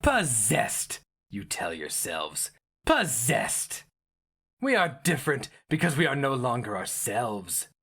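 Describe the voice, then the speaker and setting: A man acts out a gloating, maniacal sorcerer from a fantasy storybook. He is scornful and impassioned, his pitch leaping widely as he hectors his listener in slow, deliberate phrases. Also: huge pitch swings, vocal fry, fairly bright tone, slightly rough, full character voice. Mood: mocking, hectoring.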